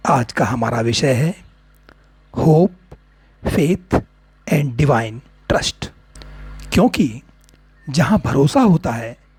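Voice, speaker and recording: A man with an Indian accent narrating a guided meditation in short, deliberate phrases with long pauses. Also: medium pitch, a little mellow, husky, dry recording.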